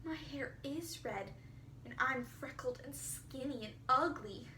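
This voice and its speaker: A high-pitched, imaginative orphan girl played by a woman in a storybook reading, gushing and earnest with melodramatic flourishes. The sound is roomy, with faint noise behind it. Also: slow pace, bright, forceful tone, heavily acted. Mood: melodramatic, pleading. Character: dramatic, imaginative red-haired orphan girl, effusive and earnest. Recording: roomy, distant mic, faint hiss.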